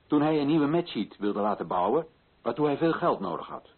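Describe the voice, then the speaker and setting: A man's voice: mid-range voice, sing-song, brisk. An actor playing an earnest, indignant colonial official in a radio play, pressing his points with insistent rhetorical questions. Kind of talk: Dutch radio play acting. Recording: muffled old radio recording.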